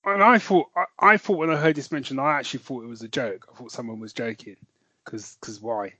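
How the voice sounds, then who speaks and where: A man's voice: medium pitch, brisk, bright, forceful tone, no echo. A man co-hosting a podcast, musing hesitantly and trailing off mid-thought. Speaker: podcast co-host. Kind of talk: casual chat.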